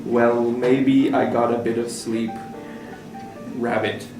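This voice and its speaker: A man's voice: medium pitch, flat delivery, takes it slowly, darkish tone. A man reading a child's camp letter aloud, stumbling a little over the written words. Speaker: staff member reading aloud. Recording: echoey room, distant mic, music behind. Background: guitar music.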